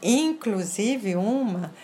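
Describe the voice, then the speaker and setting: A woman from France speaks slowly and deliberately, with a slight French accent. She sets off single words and checks in with the listener as she explains. Faint noise sits under the recording. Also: mid-range voice, expressive, warm tone. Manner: measured, stresses single words, often ends with "tá?". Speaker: interview guest. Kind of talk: casual interview conversation.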